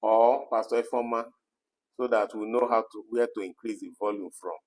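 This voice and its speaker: A man's voice: lowish voice, fairly even intonation, moderate pace, darkish tone, rough recording. A man co-hosting a casual live discussion, chatty and full of "you know" fillers, reading out viewer comments. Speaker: co-host of an online talk program.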